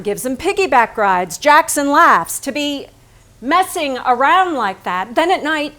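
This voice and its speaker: Bitter wife for a staged play, female actor, higher-pitched, sardonic, biting, possessive. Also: bright, forceful tone. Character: Lee, embittered painter's wife, sharp-tongued, possessive, exasperated. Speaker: stage actress. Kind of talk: theatrical staged reading, narration mixed with dialogue.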